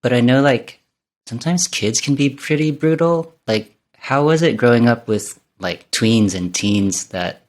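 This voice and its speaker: A man's voice: mid-range voice, a little slow. A man born in Vietnam hosting a casual interview, responding with encouraging, affirming remarks. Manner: warm, encouraging, affirming replies. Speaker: podcast host.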